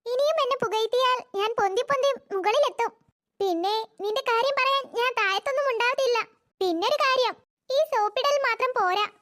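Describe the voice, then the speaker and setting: A performer voicing a teasing, sassy young woman in a cartoon, with a pitched-up voice. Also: brisk, bright, forceful tone. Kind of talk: cartoon voice acting.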